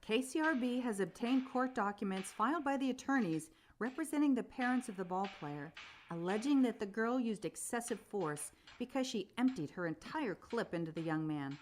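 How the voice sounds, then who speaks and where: An actress playing a composed, probing news reporter conducting a formal interview in a radio play. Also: female voice, on the high side, animated, normal speed, a little hiss or hum, natural voice.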